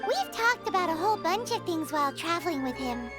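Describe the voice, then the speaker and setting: Squeaky little fairy girl, cheeky and chatty, swoops all over, loud game music behind. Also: sing-song, fairly quick. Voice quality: high, squeaky, childlike. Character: tiny chirpy fairy companion, girl. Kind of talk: game voice acting.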